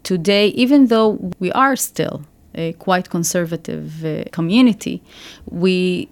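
Soft-spoken woman, a radio interview guest. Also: fairly low pitch. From Israel. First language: Arabic. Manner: measured, hesitant, many fillers and restarts.

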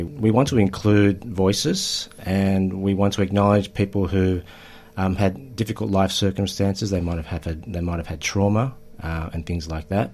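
A man talking reflectively in an interview, halting and repeating words as he searches for phrasing. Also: low-pitched, a little mellow. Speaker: writer and storyteller.

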